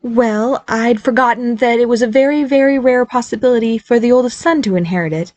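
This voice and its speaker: Brief: woman doing a high bubbly fairy-godmother mom, anxious but reassuring, close dry sound. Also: brisk, a little mellow, dry recording, close to an everyday voice. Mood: chirpy nervous. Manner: eager, reassuring, nervous, bubbly.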